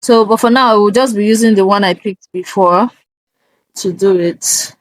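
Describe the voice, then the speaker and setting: A woman hosting a casual software walkthrough, talking step by step in a halting way and often checking in with an okay. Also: mid-range voice, no echo, low-quality recording. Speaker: tutorial host.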